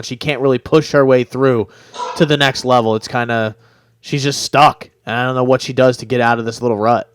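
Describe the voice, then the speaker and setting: Young man with a smooth, clear voice. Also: mid-range voice, a bit bright, dry recording, clean studio sound. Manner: blunt, profane, teasing. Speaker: podcast co-host. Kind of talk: casual sports chat.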